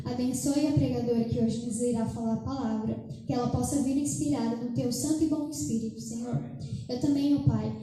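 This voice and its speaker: A woman's voice: high voice, warm tone. A Brazilian woman leading worship and prayer at a livestreamed church service, speaking in an earnest, pleading way. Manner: earnest, pleading, with repeated addresses to God. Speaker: worship leader praying at an evangelism service. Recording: very echoey church room.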